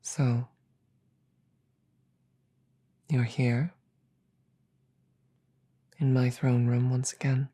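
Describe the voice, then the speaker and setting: A woman voicing a regal, calm demon queen in a roleplay audio drama, speaking in a slow, deliberate, breathy murmur with measured pauses and quiet menace. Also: a bass voice, full character voice. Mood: calm, menacing.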